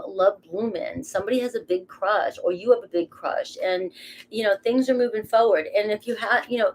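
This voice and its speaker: A woman's voice: medium pitch, a little mellow, a little hiss or hum, poor audio. Chatty Boston woman reading tarot. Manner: chatty, rambling, swears casually. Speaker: tarot reader. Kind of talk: casual tarot reading to camera.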